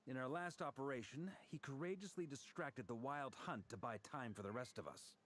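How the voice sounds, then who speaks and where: A man's voice: mid-range voice, moderate pace, a bit bright, slight background noise, natural voice. An actor playing a polite young man in a video game, speaking formally and chattily with slight awkwardness. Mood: courteous, upbeat.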